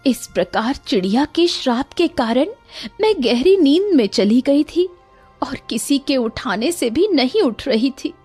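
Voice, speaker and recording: A gentle cartoon queen, played by a woman, with a high, earnest voice. Her manner is pleading and apologetic, as in a moral fairy tale. Faint music runs underneath. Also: lively intonation, a little slow, husky, full character voice. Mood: regretful.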